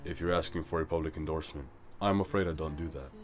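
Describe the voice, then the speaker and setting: A man playing a brooding, guarded vigilante in an audio drama, terse and controlled with a gravelly voice. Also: fast talker, slight background noise. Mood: measured, dry. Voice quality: low, gravelly.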